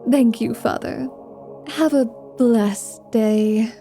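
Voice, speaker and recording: Woman acting a teasing female vampire paladin, slow, highish mellow voice, flirtatious ASMR roleplay, music behind. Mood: polite, demure. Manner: slow, teasing, sultry delivery with drawn-out words.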